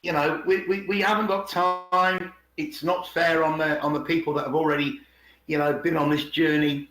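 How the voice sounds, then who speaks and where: A man co-hosting a podcast, explaining conversationally and slowing down to stress key words. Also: on the high side, fairly bright tone. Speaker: podcast co-host. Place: indoors on an online group call. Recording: roomy, echoing online call.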